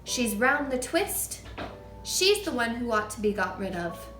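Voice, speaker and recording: A woman voicing a gentle young woman teacher who speaks softly but firmly, in an echoey room. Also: a little high, big pitch swings, measured pace, far from the mic, soft background music. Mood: muttering.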